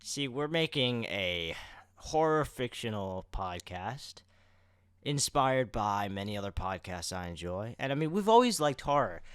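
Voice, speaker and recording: A man's voice: mid-range voice, big pitch swings, measured pace, clear, resonant tone, dry recording, studio quality. A young man co-hosting a podcast in casual chat, now and then addressing listeners like an announcer. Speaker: podcast co-host.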